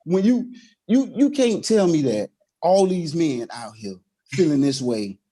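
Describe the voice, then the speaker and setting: A man's voice: on the high side, sing-song, a little slow, mellow. A man in casual podcast conversation, slangy and emphatic, repeating phrases to drive his points home.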